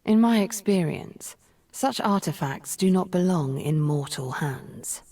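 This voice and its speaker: An actress playing a powerful, scheming sorceress in a video game, speaking in a measured, cryptic and imperious way. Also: female voice, on the low side, expressive, a little mellow. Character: powerful, scheming female sorceress, leader of a secret sisterhood. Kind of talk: video game character voice lines.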